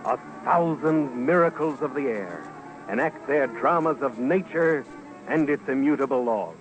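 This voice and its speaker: A man reads dramatic scripted documentary narration with a solemn, portentous and deliberate delivery, as on a vintage radio broadcast. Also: mid-range voice, animated, poor audio. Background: loud background music.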